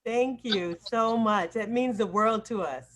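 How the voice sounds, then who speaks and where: A woman hosting a casual video call, chatting in an excitable way. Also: on the high side, a little slow, slight background noise. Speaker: acting coach hosting the event. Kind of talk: casual chat.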